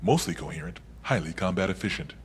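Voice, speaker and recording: An actor playing a composed heist planner in a video game, speaking in formal, clipped, measured phrases. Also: male voice, sits low, expressive, music in the background. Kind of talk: video game cutscene acting.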